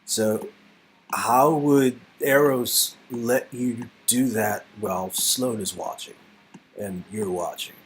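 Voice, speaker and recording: A man's voice: medium pitch, slow pace, a little hiss or hum. A man casually performing game dialogue in a hesitant, rambling, comic way, often repeating words. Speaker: performer reading game script.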